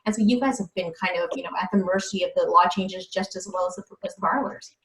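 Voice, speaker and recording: A woman's voice: fairly low pitch, fast, a bit bright. Precise woman in an echoey room. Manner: clarifying, precise, with casual fillers. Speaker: panelist, legal explainer. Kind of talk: webinar talk. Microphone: video call.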